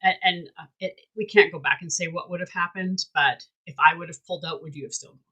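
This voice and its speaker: A woman's voice: lowish voice, fast talker, pushed and bright, slightly rough, poor audio. A woman hosting a candid casual chat, talking emphatically and bluntly and swearing freely. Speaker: host.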